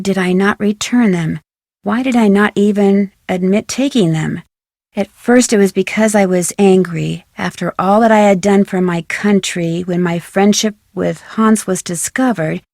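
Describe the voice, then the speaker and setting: A woman playing a dignified, wistful older woman who reads out a confession letter in a measured, solemn way for an adventure game. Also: medium pitch, creaky, darkish tone, no room sound, clean studio sound. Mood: bitter, wounded. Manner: measured, solemn, deliberate phrasing.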